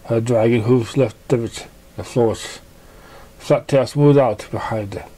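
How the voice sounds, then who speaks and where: A narrator reading a story in an amateur storybook style, with halting, stumbling delivery. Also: mid-range voice, mellow.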